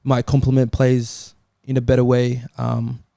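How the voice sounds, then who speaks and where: A man's voice: medium pitch, completely flat delivery, measured pace, gravelly, mellow, no echo, clean studio sound. A man chatting casually as a football panellist, explaining his view in a tentative, hedging way. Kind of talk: casual chat.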